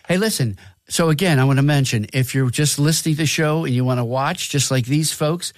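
A man's voice: a little high, brisk, no room sound. Chatty male radio co-host, dry studio. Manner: chatty, gives shout-outs to listeners. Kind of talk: casual radio chat.

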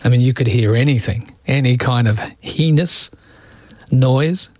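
A man's voice: medium pitch, a little slow, darkish tone, no echo. A man with an Australian accent hosting talkback radio, chatting in a rambling way with wry asides. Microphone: studio microphone.

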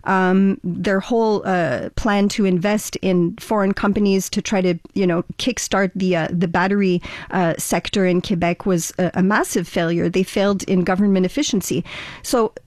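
A woman running for office talks through her positions in an even, explanatory way, often pausing with "um" and "uh". Her voice sits at a middle pitch. The studio sound is dry and close, with no room echo. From Ontario, Canada. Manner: measured, explanatory, frequent fillers. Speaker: political candidate. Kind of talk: radio interview.

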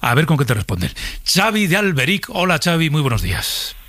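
A man's voice: on the high side, very animated, a little fast, sharp tone, slight background noise. A man hosting a radio show, chatting casually and taking calls from listeners. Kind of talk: lively chat with phone-in callers.

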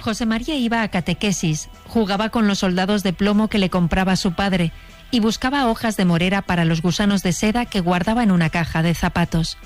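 A woman giving scripted documentary narration in an even, measured reading. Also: medium pitch, faint music behind.

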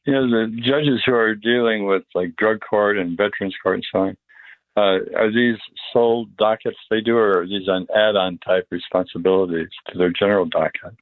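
A man hosting a show, interviewing a guest in a conversational, halting way. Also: fairly low pitch, fairly even intonation, dry recording. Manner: conversational, halting, repeats words. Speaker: radio show host. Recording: phone-like line, dry.

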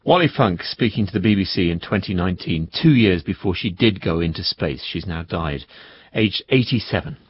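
A man presenting the news in a measured, formal way. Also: low voice, lively intonation, slightly rough. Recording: dry, dull studio sound.

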